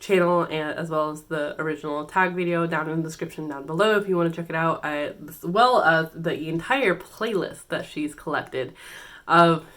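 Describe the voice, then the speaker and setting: A woman with a somewhat low voice talks through a casual book-tag video on her own channel. She is getting over a cold, so she sounds congested and a little nasal. She speaks in a loose, hesitant way, full of fillers and false starts. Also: normal speed, a bit bright. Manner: chatty and hesitant, many fillers and restarts. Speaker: BookTube channel host.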